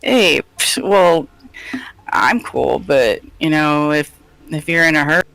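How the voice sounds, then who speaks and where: A man voicing a brash young woman adventurer who talks in a breezy, teasing way with a raised pitch. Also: slow, gravelly, pushed and bright, no echo, full character voice. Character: cocky young woman adventurer hiding nerves.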